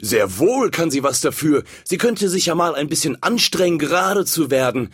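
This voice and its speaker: A man voicing a big, fat, boastful red candle in a storybook, speaking a little higher than his own voice. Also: big pitch swings, normal speed, slightly rough. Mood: smug. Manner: boastful, mocking.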